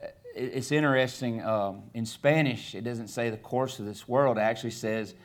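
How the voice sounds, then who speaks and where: Man, Southern US accent, middle-aged, guest preacher, casual halting sermon delivery, reading scripture aloud. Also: mid-range voice. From Alabama, USA. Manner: conversational, halting, many fillers and restarts.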